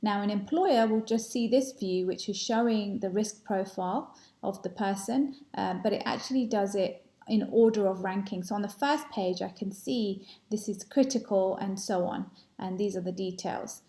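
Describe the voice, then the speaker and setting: A woman in her thirties walking through a software demo, explaining with frequent ums and uhs, recorded on a laptop webcam at home. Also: mid-range voice, moderate pace. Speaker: product presenter.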